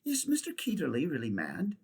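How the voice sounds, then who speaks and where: A prim, curious young girl in a storybook, eager and a little proud, as a man puts her on. The voice climbs high and swoops lively from word to word. There is a slightly husky edge under it. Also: fairly quick, exaggerated voice. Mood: gentle.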